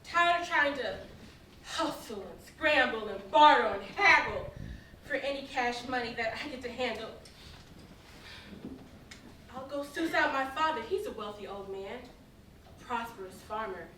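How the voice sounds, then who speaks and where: A woman's voice: on the high side, expressive, unhurried, long pauses, pushed and bright. A woman playing a brash, flippant, hard-living prodigal son in a theatrical staged reading. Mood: fed up. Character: wayward, hard-drinking prodigal son, brash and pleasure-seeking. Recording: echoey live stage, distant mic, faint noise.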